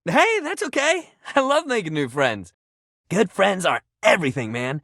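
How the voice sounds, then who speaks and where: Sports-mad video game bro, exuberant, big pitch swings, warm-hearted, slangy, dry studio sound. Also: male voice, big pitch swings, normal speed, creaky, no echo, exaggerated voice. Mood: friendly, cheerful. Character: sports-obsessed, upbeat, warm-hearted young male jock pal. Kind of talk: video game character acting.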